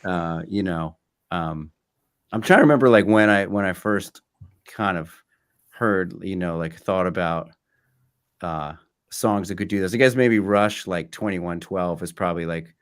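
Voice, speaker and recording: Man, somewhat low voice, informal interview chat, halting, repeats himself. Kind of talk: casual conversational interview.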